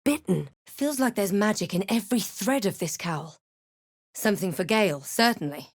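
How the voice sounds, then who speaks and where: A woman playing a wry, wary spellcasting adventurer in a video game, speaking in a measured, dramatic and dry manner. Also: medium pitch, animated, dry recording. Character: snarky adventurer heroine, wary, wry, spellcasting fantasy protagonist. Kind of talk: video game voice lines, remarks and first-person narration.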